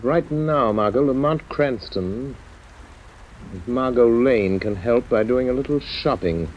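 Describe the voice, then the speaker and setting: A man playing a serious, educated gentleman crime fighter, speaking earnestly and articulately in an old radio drama. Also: mid-range voice, unhurried, close to an everyday voice. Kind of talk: 1940s radio acting. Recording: dull, slightly noisy old radio recording.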